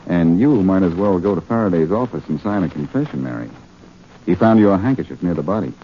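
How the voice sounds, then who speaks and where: Cocky, low-voiced radio sleuth, animated delivery. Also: male voice. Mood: urgent, insistent. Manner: glib, sardonic, quick with quips. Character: wisecracking, cocky male sleuth on the run. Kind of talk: 1940s radio detective drama acting. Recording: muffled, faintly noisy 1940s radio recording.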